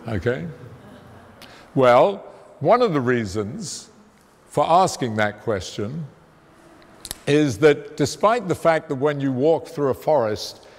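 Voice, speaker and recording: A man giving a public science lecture, talking in an emphatic, conversational way with a fairly loud voice, away from the podium. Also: medium pitch, expressive, takes it slowly, long pauses. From immigrant to the United States. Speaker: guest lecturer, theoretical physicist. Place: museum lecture hall, walking away from the podium. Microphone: room mic, speaking without a handheld mic. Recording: long reverb of a lecture hall.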